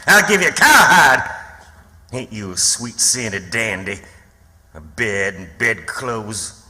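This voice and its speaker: Sharp, forceful, bullying old backwoods father. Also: lowish voice, expressive, slow pace, exaggerated voice. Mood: taunting, angry. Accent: rural Southern American. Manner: sneering, taunting, folksy dialect. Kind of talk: theatrical radio drama acting. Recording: long hall reverb.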